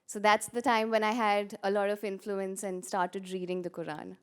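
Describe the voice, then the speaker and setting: A woman from India introducing herself as a peace educator, speaking earnestly with measured pauses. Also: medium pitch, level tone, clear, resonant tone. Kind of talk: personal introduction at a conference.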